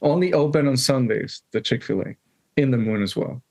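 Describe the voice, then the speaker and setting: A man co-hosting a podcast, chatting casually in a gravelly voice. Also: mid-range voice, brisk, a little mellow. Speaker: podcast co-host.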